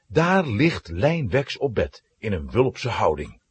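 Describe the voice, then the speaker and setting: A man's voice: fairly low pitch, sing-song, takes it slowly, husky, no room sound. A man narrating a children's adventure story as a dramatic, scripted storyteller.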